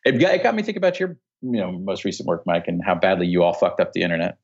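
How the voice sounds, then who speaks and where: A man's voice: low voice, lively intonation, racing, husky, lo-fi sound. A middle-aged man, a guest lawyer, chatting in a laid-back way, swearing casually and laughing readily. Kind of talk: casual podcast chat.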